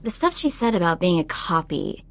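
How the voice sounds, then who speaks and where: A woman's voice: lowish voice, big pitch swings, moderate pace. An actress playing a sassy young female hacker sidekick in a video game, with a wry, casual delivery. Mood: uneasy.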